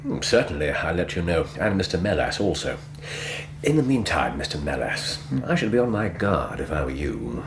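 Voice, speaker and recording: A keen, commanding English gentleman detective in a radio drama. His low voice swoops with lively bursts of precise speech. Noisy ambience sits behind him. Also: normal speed. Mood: brisk, probing.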